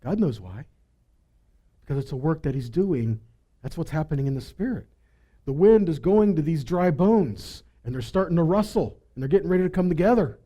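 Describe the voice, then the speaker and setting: A man's voice: on the high side, lively intonation, normal speed, warm tone. A man giving a Bible teaching, reading scripture and putting rhetorical questions to his listeners.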